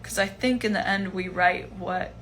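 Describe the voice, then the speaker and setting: A young woman in her twenties answering fan questions in a casual livestream from home, relaxed and chatty with lots of fillers. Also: mid-range voice, normal speed, a little hiss or hum. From Iceland. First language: Chinese. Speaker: musician hosting a livestream Q&A. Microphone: phone livestream.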